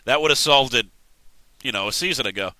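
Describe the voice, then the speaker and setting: A man's voice: medium pitch, brisk, bright, forceful tone, no room sound. A young man with a congested voice, casually rambling through a game news roundup with self-corrections and joking asides. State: congested, just woke up. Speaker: host giving game news. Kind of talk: casual commentary.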